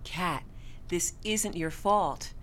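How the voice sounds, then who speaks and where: An actress in a radio drama playing a composed, firm yet compassionate hospital staffer, speaking in a measured, formal, consoling way. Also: female voice, on the low side, fairly bright tone, a little hiss or hum.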